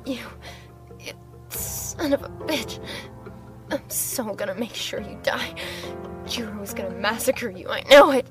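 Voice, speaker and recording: Woman acting a high-voiced, defiant captive detective. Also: measured pace, pauses often, natural voice. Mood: seething. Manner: defiant, emotional. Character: defiant captive female detective and mother, desperate, foul-mouthed. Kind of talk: amateur radio drama acting. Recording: loud music behind the voice.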